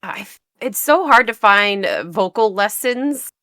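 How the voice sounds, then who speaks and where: Bright, giggly young-sounding woman guest. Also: mid-range voice, lively intonation, unhurried, no echo, clean studio sound. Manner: giggly, fills her speech with "like". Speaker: guest, VTuber. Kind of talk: casual interview chat.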